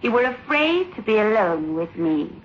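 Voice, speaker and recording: A woman's voice: medium pitch, big pitch swings, normal speed, a little hiss or hum, close to an everyday voice. An actress playing a fragile, suspicious and easily manipulated woman in 1960s radio suspense style, wary and bewildered. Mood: suspicious, accusing. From South Africa. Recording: dull vintage radio recording.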